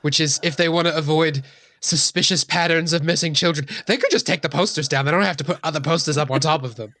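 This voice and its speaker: A man takes part in a tabletop game in relaxed conversational speech. His voice sits a little high for a man. The recording is dry, with no room sound. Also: fast, dry recording. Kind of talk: casual game chat.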